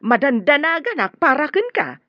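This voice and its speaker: A woman's voice: high voice, very animated, normal speed, no echo. A woman playing a nervous, talkative, deferential household servant with an Ilocano accent in a radio drama. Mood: worried. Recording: dull, dry radio drama audio.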